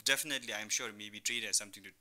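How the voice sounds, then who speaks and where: A man's voice: on the low side, very fast, sharp tone. A young man who grew up in Thailand, answering as an entrepreneur guest in a radio interview, explaining with examples and frequent "you know". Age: young adult. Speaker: entrepreneur guest, online business founder. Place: radio studio.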